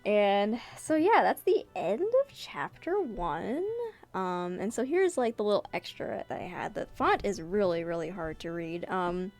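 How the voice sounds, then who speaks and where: A woman's voice: medium pitch, sing-song, a little slow, darkish tone, soft background music. A woman chatting casually about her own webcomic in a giggly, rambling way, as its creator. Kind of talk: casual commentary and chat.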